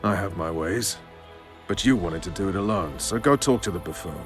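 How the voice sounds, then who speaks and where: A man's voice: low-pitched, normal speed, over music, natural voice. A man voicing a proud, roguish military officer, commanding and sardonic, in a video game. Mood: sly, urgent.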